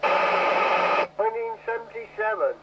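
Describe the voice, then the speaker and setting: A man's voice: high-pitched, animated, slow. A man having a casual chat over CB radio. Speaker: CB radio hobbyist. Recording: noisy CB radio transmission.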